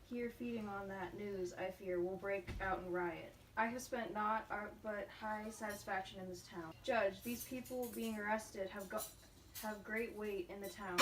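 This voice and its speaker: A woman's voice: medium pitch, lightly acted. A woman acting an anxious, pleading male minister from colonial Salem in an amateur puppet play. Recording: distant mic with background room noise.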